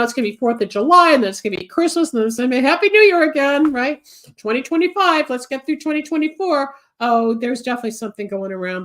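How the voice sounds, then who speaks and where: A woman in her seventies hosting a psychic advice show, talking at length and rambling from topic to topic, congested and getting over a cold. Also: mid-range voice. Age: 70s. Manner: chatty, rambling, switches topics, gives advice. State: recovering from a cold, coughing. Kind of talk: solo live show monologue, reading viewer comments.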